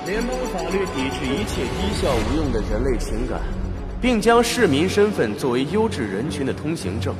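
An actor playing a rigid, accusing prosecutor, arguing formally in a radio drama. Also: male voice, a little high, big pitch swings, moderate pace. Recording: long hall reverb over music.

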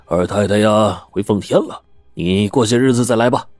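A man voicing a grumpy old gatekeeper who talks slowly and officiously. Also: fairly low pitch, darkish tone, dry recording, faint music behind, natural voice.

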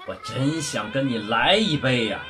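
Radio drama space pilot played by a man: grand and rapturous, sweeping pitch, faint music underneath. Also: animated, a bit bright, natural voice. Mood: elated. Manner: slow, declamatory, drawn-out. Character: rapturous male Indian solar-sail spacecraft pilot.

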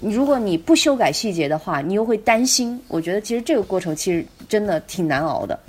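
语调起伏丰富的女主播. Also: female voice, medium pitch, lively intonation, fairly quick, a little mellow, slightly rough, soft background music. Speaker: podcast co-host. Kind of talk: casual discussion.

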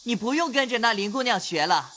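Young nobleman of old China, lovestruck and teasing, very animated delivery, reverberant period drama. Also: extremely high pitch, fairly quick, heavily acted. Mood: musing. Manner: soft, coaxing. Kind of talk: audio drama acting of a classical novel. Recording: long hall reverb, noisy insect ambience.

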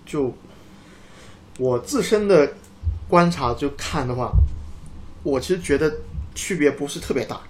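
A man co-hosting a casual motor racing chat, often repeating words and agreements in quick succession. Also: fairly high pitch, expressive, slight background noise. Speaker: podcast co-host.

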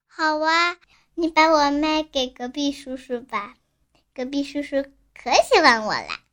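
A small, stubborn child in a comic bedtime sketch. The voice is very high and bright, with slow, unhurried speech and a whiny, innocent-sounding cheek. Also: female voice, animated. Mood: cheeky.

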